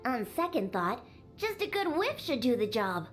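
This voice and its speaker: An exasperated young girl from a video game, bossy and bratty. The voice sits high and moves around in lively swings as it scolds and instructs. Faint music sits behind it. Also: normal speed. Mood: disgusted. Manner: scolding, bratty, matter-of-fact. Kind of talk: video game character acting.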